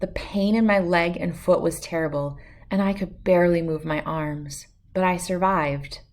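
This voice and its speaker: A woman's voice: on the low side, normal speed, darkish tone. A woman reading a children's picture book aloud as host, telling it expressively in the first person. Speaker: storybook read-aloud host.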